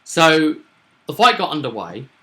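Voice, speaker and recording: A man with a bright, forward voice talks as a game master recapping a session. He is animated and casual, and tends to repeat phrases as he explains. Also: fairly high pitch, a little slow.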